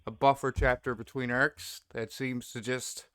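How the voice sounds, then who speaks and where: A man's voice: medium pitch, level tone, measured pace, a bit bright, clean tone, dry recording, clean studio sound. A man speaking as a guest in a casual fan discussion, agreeable and appreciative.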